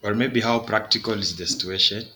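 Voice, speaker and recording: A man's voice: fairly low pitch, fairly even intonation, a little fast, pushed and bright. A young man, a trainee asking questions in class, hesitant and repeating words as he thinks aloud. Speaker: radiology trainee. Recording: faint background noise.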